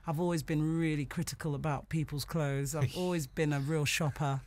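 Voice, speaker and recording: A woman's voice: deep voice, steady tone, fairly quick, a little mellow. A woman, a singer guesting on a radio show, chatting frankly and easily, often laughing as she talks in the studio. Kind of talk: casual radio interview chat.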